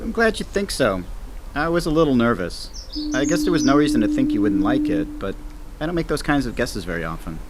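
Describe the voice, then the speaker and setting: A man's voice: medium pitch, very animated, a little fast, very noisy, lightly acted. An actor playing an easygoing, teasing male space station commander with a casual, wry manner in an audio drama. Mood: playful, fond.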